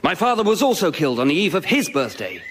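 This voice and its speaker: Radio comedy casting: hearty but doomed English aristocrat, animated high delivery, upper-class accent. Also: male voice, fast talker, slight background noise. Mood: grave, melodramatic. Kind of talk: radio sketch comedy acting.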